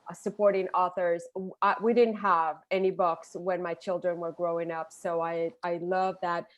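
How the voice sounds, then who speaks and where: A woman's voice: on the low side, normal speed. Cheerful woman on a video call. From Puerto Rico. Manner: cheerful, animated. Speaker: children's book author. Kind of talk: book presentation on a video call. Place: home room. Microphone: earphones with mic on a video call.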